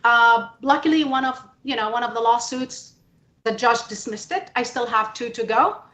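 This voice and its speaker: A woman from the Middle East speaking emphatically and deliberately as a journalist on a panel about politics. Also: on the high side, a bit bright. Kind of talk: panel testimony, impassioned political talk. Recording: echoey room.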